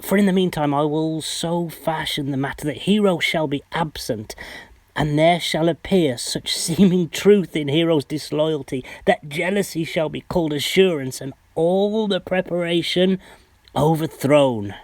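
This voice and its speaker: A male actor plays a cunning, scheming henchman in a Shakespearean radio play. His voice is slightly high and deliberately conspiratorial, recorded close and dry. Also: no echo. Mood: sly plotting. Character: sly, cunning henchman plotting slander for pay. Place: home recording.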